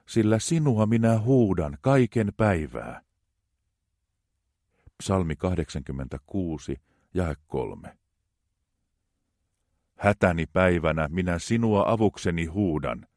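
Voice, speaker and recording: A man with a very low, deep voice reads psalms slowly and reverently. The sound is dry and close, with no room echo. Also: darkish tone. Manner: slow, measured, reverent reading. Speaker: scripture reader. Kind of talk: solemn reading of psalms.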